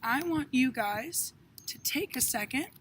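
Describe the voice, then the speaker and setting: A young woman with a high voice talks directly to children as a read-aloud presenter. She is encouraging and teacherly, and some background noise is audible. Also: expressive, a little slow, a bit bright. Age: young adult. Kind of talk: instructional address to young children.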